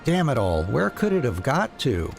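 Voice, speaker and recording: A man's voice: mid-range voice, wildly swooping pitch, moderate pace, gravelly, over music. A man voicing a scatterbrained, hearty rebel soldier in a fantasy game, sheepish and chatty. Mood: sheepish, pleading. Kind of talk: reading fantasy game dialogue aloud.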